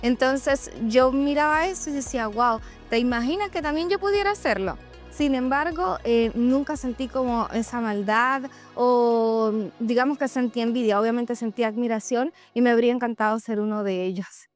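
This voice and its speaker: A woman shares a reflective personal monologue, speaking candidly and straight to her listeners. Her voice sits a little high, and music plays faintly behind her. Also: normal speed. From Venezuela. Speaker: host of a personal podcast or vlog. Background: background music.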